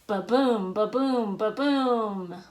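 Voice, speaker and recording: A woman reading a rhyming children's picture book aloud in an expressive way, punching out the sound words. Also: mid-range voice, very slow. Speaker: author and illustrator reading own book.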